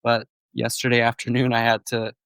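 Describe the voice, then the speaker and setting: A man's voice: mid-range voice, monotone, creaky, a bit bright, clean tone, no echo. A man, co-hosting a casual sports podcast and breaking down match plays, still getting over a cold. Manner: measured, thoughtful match analysis. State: recovering from a cold, voice on the mend. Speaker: podcast co-host.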